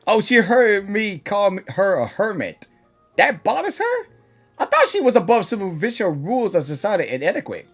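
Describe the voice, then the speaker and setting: A woman's voice: medium pitch, big pitch swings, normal speed. A woman streamer giving casual live reactions as she plays a game. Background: game music.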